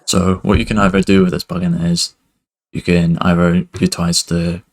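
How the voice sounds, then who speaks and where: A young man giving a relaxed music production tutorial at his desk, talking loosely with lots of fillers. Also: low voice, moderate pace. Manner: relaxed, many fillers like "kind of" and "basically". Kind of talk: casual screen-recorded tutorial.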